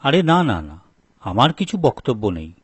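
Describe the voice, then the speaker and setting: A man acting a husky, humble younger brother. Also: medium pitch, sing-song, moderate pace, dry recording. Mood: submissive. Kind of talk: acted dialogue for a story video.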